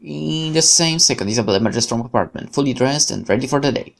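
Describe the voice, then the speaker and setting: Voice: medium pitch, expressive, fast. A game streamer reading visual novel narration aloud expressively, occasionally stumbling over words. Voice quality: creaky.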